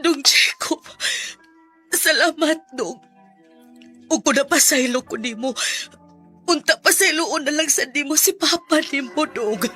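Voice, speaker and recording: A woman's voice: high voice, a little slow, bright, forceful tone, soft background music. A woman acting a loving, remorseful old grandmother in a radio drama, pleading emotionally with a Cebuano accent. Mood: tearful pleading.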